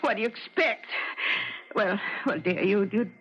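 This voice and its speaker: A woman's voice: mid-range voice, unhurried, slight background noise, lightly acted. An actress playing a kindly, fussy neighbour woman in a 1970s radio drama, hesitant and fluttery. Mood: scolding, kindly. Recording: dull lo-fi 1970s radio broadcast.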